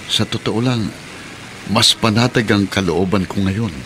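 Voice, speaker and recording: A man's voice: fairly low pitch, a little slow, background noise, natural voice. A man playing a weary but stubborn, forgiving middle-aged pedicab driver in a radio drama, with a Filipino accent. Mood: steadfast.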